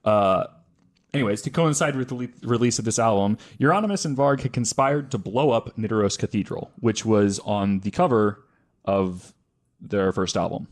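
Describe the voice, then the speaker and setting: Man telling a story, halting and self-correcting. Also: on the low side. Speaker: podcast host. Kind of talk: casual storytelling from notes.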